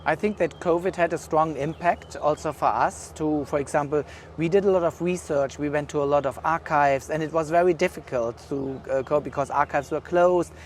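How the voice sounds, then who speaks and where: A man with a German accent speaks in a slightly raised voice, pausing often and reaching for words as he thinks aloud. He is recorded outdoors, with faint traffic and city noise behind him. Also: on the high side. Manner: thoughtful, hesitant, many fillers. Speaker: curator being interviewed. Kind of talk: casual interview. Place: outdoors at an art exhibition.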